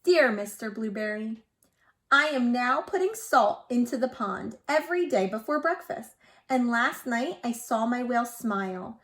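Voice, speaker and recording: A woman's voice: on the high side, lively intonation, a little slow. A woman voicing an earnest young girl writing letters in a picture-book read-aloud. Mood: eager, earnest.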